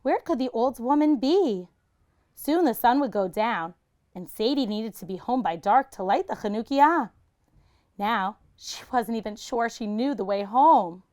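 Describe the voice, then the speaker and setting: A woman reads a picture book aloud in a teacher's expressive style. Her voice is on the high side and her pace is very slow, with dramatic emphasis for young listeners. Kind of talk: expressive children's story reading.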